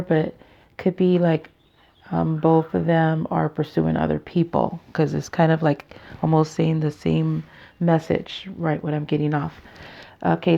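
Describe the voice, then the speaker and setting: A tired woman with a raspy voice, hosting a casual card reading in a halting, chatty, informal way. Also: fairly low pitch. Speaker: tarot reader, channel host. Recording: dull, muffled lo-fi sound.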